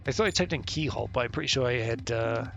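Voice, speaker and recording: A man's voice: medium pitch, fast, gravelly, fairly bright tone. A man streaming a puzzle game live, thinking aloud, swearing and muttering to himself as he tries passwords. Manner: swears and mutters while working through a puzzle. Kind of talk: live gameplay commentary. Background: faint game music.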